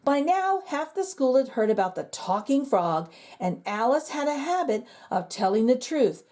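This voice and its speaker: A woman reads a children's picture book aloud as the host of a reading channel. Her storytelling is expressive and sing-song, with lively swings in pitch. Her voice sits a little high, and its tone is warm and mellow. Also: normal speed.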